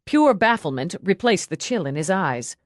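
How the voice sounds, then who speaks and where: A woman's voice: on the low side, big pitch swings, moderate pace, dry recording, clean studio sound. A woman narrating a novel in a dramatic, scripted audiobook style.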